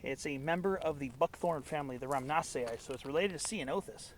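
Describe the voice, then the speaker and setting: A man's voice: a little high, a little fast, slight background noise. A congested young man from Southern California, narrating a hike as a vlogger in a casual, enthusiastic, rambling way, recorded outdoors on a camera as he walks. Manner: enthusiastic, rambling, botanical asides. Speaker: hiking vlogger. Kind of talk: casual walk-and-talk narration. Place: outdoors in a canyon on a trail.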